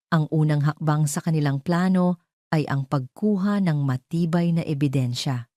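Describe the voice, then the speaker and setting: A woman with a Filipino accent reads a dramatic story in a measured, solemn way. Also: sits low, dark tone, dry recording, clean studio sound. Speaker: audio drama narrator. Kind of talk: dramatic scripted story narration.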